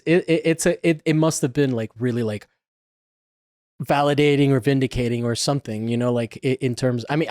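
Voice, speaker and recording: A man's voice: mid-range voice, a little mellow, no room sound, studio quality. A man whose first language is Spanish, hosting a casual interview and thinking aloud with many restarts and self-corrections. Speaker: podcast host.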